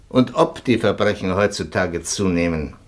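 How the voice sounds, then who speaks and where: An actor playing a brisk, reassuring physician in a German radio play. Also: male voice, sits low. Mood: calm, chatty. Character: matter-of-fact male physician at a sickbed.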